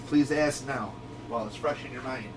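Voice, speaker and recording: A man's voice: medium pitch, moderate pace, recorded from a distance, loud music behind the voice. A man hosting a radio group in a folksy, easygoing way, explaining things in casual instructional chat. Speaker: radio shop owner hosting a walkie-talkie group channel.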